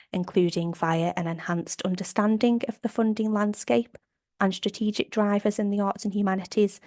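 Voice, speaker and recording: A woman with a slight cold, sounding a bit croaky, presenting survey findings on a video call in an upbeat, methodical way. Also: mid-range voice, dark tone, no echo, studio quality. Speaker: operations manager presenting survey data. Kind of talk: webinar slide presentation.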